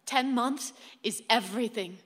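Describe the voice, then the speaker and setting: Actress as dreamy, high-voiced mother in reverb. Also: measured pace, a bit bright. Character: dreamy mother in the afterlife, speaking in riddles. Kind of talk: radio play acting. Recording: long hall reverb.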